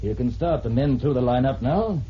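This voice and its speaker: An actor playing a calm police inspector in a vintage radio drama, measured, polite and insistent. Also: male voice, medium pitch, close to an everyday voice. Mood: probing, controlled. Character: calm, probing male Scotland Yard police inspector. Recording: muffled, noisy vintage radio recording.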